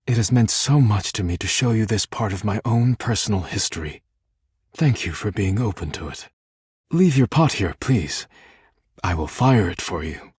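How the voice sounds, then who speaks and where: An actor playing a solemn, formal artisan in a video game, a romantic man who speaks in measured, poetic sentences. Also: low voice, a little mellow, slightly rough, dry recording, studio quality, heavily acted. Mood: gentle, sincere. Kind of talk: video game character dialogue.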